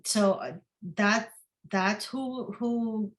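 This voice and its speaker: A woman's voice: medium pitch, fairly even intonation, extremely slow. A woman whose first language is not English, a guest author in a casual interview, often repeating and restarting her words.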